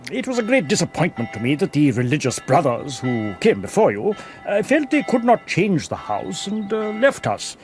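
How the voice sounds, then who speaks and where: An actor playing a genial, proud older general in a radio drama, chuckling and grandly courteous. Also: male voice, fairly high pitch, huge pitch swings, normal speed, few pauses, soft background music.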